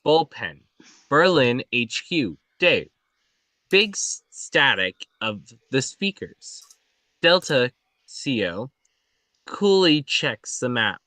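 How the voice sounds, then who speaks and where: Guy reading stage directions off a screenplay, halting and clipped, forceful tone, pretty dry sound. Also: medium pitch, very animated, dry recording, low-quality recording.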